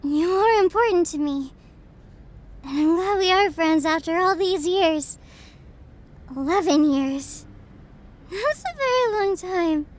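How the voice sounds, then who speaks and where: A very high, girlish voice that takes its time with every phrase. A woman is acting out an anxious young woman in an audio roleplay, a devoted best friend who doubts herself. Her delivery is soft, halting and emotionally exposed. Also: animated, full character voice. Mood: tender, caring. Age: young adult.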